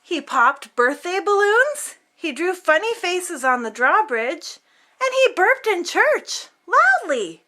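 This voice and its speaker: A woman's voice: very high-pitched, big pitch swings, slow, bright, forceful tone. A woman reading a children's picture book aloud as host, theatrically, drawing out words for emphasis.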